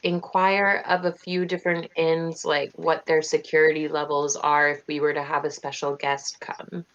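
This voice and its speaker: A woman playing in an online tabletop game, pitching ideas with a questioning lilt. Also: flat delivery, normal speed, a bit bright. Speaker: player. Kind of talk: casual tabletop game chat. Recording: dull online call audio.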